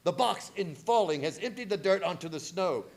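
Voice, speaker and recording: Man playing high-pitched, zealous vampire hunter. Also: expressive, moderate pace. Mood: grave, tense. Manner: theatrical, urgent, solemn. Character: grave, zealous male vampire hunter, commanding and dramatic. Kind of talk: radio horror drama acting with narration.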